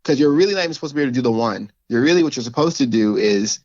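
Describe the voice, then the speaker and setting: A man's voice: medium pitch, lively intonation, racing, darkish tone, no room sound. Author guest, man, reflective and searching for words, dull dry recording. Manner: thoughtful, restarts often, light laughs. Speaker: author, writing teacher. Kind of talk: casual conversation.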